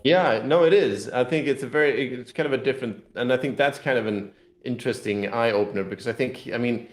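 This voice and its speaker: A man being interviewed as a game designer, talking casually and explaining in a rambling, halting way with repeated words. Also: mid-range voice. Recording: roomy, echoing room sound.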